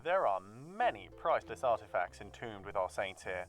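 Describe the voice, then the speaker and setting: Prim British-accented secretary. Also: male voice, lowish voice, big pitch swings, moderate pace, faint music behind. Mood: evasive. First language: Czech. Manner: formal, clipped, evasive. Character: prim male cathedral secretary, evasive.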